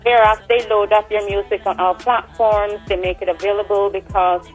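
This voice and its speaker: A woman's voice: mid-range voice, steady tone, normal speed, soft background music, poor audio. Guest singer, woman, 40s, Swedish, easygoing talker. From Sweden. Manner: warm, chatty, laughs easily. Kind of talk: casual radio interview.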